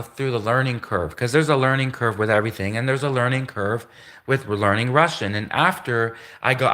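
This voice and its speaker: A man's voice: mid-range voice, pushed and bright. A man hosting a livestream, talking casually in a rambling way, often repeating words and restarting his sentences. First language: English. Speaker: livestream host.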